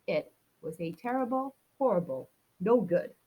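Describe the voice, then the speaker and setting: A woman's voice: mid-range voice, animated, a little slow, darkish tone, husky, poor audio. A woman reading a children's picture book aloud, expressively acting out a grumpy child's complaints. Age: adult. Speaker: storybook reader.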